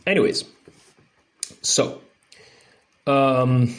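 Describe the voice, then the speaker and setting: Italian-accented man reading a chess book. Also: medium pitch. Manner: halting reading with frequent fillers and restarts, looser when explaining. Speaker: chess channel host.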